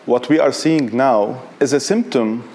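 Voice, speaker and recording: A man in his thirties, a human rights director, answering interview questions earnestly in an office, halting and repeating words. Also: medium pitch, darkish tone. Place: indoor office.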